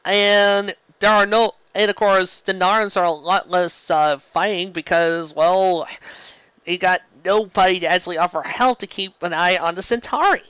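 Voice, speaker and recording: A fan-show host with a bright, pressing voice at a middling pitch rambles through a casual review. The delivery is gushing and emphatic, with frequent stumbles and restarts. The sound is dry and slightly lo-fi. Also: steady tone, a little slow, smooth, no room sound. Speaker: host of a fan fiction live-read show.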